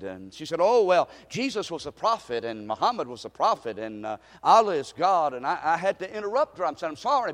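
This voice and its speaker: Impassioned preacher man in a reverberant hall. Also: high-pitched. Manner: swings from hushed to shouting; stammering restarts. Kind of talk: sermon with storytelling.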